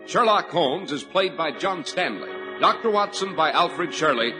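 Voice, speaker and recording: A man working as a radio announcer, with a smooth, formal delivery. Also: a little high, expressive, moderate pace, rough recording. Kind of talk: 1940s radio announcing and commercial. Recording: old radio broadcast with music behind.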